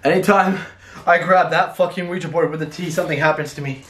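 A young man vlogging a staged paranormal challenge in a dark abandoned building at night, hoarse and panicked, talking in shaken, hushed bursts. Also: fairly high pitch, fairly even intonation, fast talker, roomy sound. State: hoarse, complaining of a sore throat.

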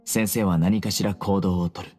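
A man playing a stern, calm young investigator in a game, speaking in a measured, commanding way. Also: deep voice, faint music behind, natural voice. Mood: stern, accusing. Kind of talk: Japanese anime game voice acting.

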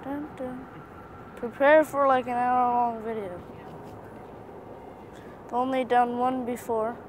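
A young woman casually commenting on a mobile game she plays, quiet and tired with sudden frustrated outbursts. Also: a little high, steady tone, slow, rough recording. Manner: sparse, tired chatter with bursts of frustrated outcries and humming. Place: inside a vehicle. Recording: phone screen recording with vehicle noise. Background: vehicle noise.

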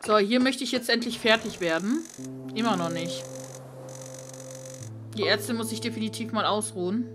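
A woman's voice: mid-range voice, normal speed, pauses often, a bit bright. Woman gamer commentating over music. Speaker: gaming let's player. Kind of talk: casual game commentary, reading on-screen dialogue aloud. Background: video game music.

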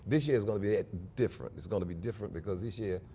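An older man, a pastor, speaking earnestly and at a measured pace in a studio interview on a lapel mic, with small restarts. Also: lowish voice, mellow, slight background noise. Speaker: pastor and convention guest.

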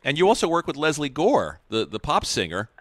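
A man's voice: a little high, wildly swooping pitch, brisk, a bit bright, no echo, studio quality. A man hosting a podcast interview, asking eager questions packed with facts. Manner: eager, fact-filled questions. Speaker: podcast host. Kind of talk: interview chat.

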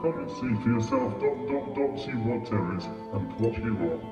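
A man's voice: medium pitch, normal speed, close to an everyday voice. A man playing a cryptic, solemn old man in a fantasy game fan dub, speaking in a portentous way. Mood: ominous. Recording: dull, distant, cavernous reverb under music.

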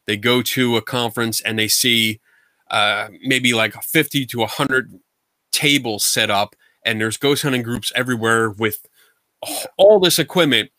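Blunt, animated American man on a video call. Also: medium pitch, no echo. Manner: animated, gestures a lot, blunt and colloquial. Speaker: guest paranormal investigator. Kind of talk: casual video call interview. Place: home room full of collectibles.

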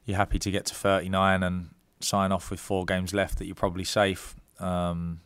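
Man in his 30s, football manager at presser, low smooth voice, dry room, halting ums. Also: flat delivery, a bit bright, dry recording. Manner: measured, many ums and restarts. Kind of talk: press conference answers. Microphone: desk mic.

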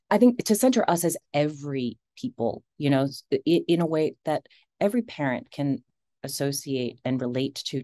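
A woman's voice: extremely low pitch, mellow, dry recording, clean studio sound. A woman in her forties, an actress, chatting animatedly on a video call with many restarts and laughs. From United States. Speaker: actress, interview guest.

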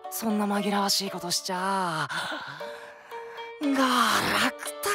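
A man playing a rough-tongued, eager young townsman in a radio drama, with slang and a pleading manner. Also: sits high, takes it slowly, music in the background, heavily acted. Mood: dejected. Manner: colloquial old Tokyo slang, pleading.

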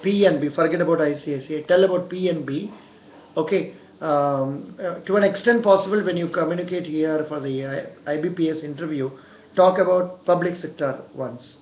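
An older man with an Indian accent conducts a practice interview in an encouraging way, often giving short approvals. His voice sits a little high. The recording is echoey and somewhat dull, made in a room. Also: normal speed. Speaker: interviewer and career coach. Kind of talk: mock job interview over the telephone. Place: small indoor room.

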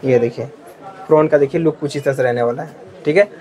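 Man, shop-tour vlogger, quick, somewhat high voice, eager, keeps praising and repeating prices. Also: dark tone. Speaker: market vlogger. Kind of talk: shop-tour vlog, talking to viewers. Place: card shop in a market.

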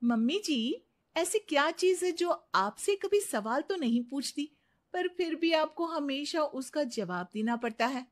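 A woman voicing a smug young daughter-in-law in an animated story, self-assured and taunting. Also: sits high, a little slow, voice pushed up. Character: smug, challenging young daughter-in-law who loves riddles.

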